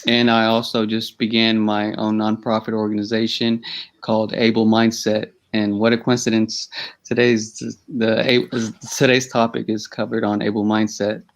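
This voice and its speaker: A young man in his twenties from Texas, born in Ethiopia, introducing himself as a guest in a measured way. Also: on the low side. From Ethiopia, raised in Texas. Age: 20s. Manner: monotone, measured self-introduction.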